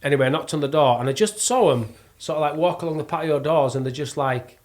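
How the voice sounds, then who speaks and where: A middle-aged man with a Yorkshire accent, chatting casually and spinning animated, self-mocking stories. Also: fairly high pitch, fast talker. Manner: jokey, self-mocking storyteller. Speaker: podcast guest, actor and plasterer. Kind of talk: casual chat, animated anecdote telling.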